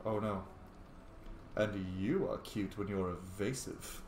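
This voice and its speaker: A man's voice: fairly low pitch, slow pace, a little mellow. A man giving casual, reactive commentary while playing a role-playing game, slangy and sweary in a playful way. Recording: roomy echo, faint noise.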